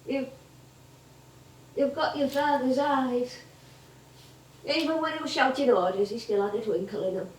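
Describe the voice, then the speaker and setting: An actress playing an elderly English music hall comedienne on stage, chatty, rambling and nostalgic. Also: female voice, sits high, measured pace, long pauses, a little mellow, slight background noise. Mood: fond, nostalgic. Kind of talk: theatrical stage monologue and dialogue. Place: theatre stage. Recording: echoey theatre, distant mic.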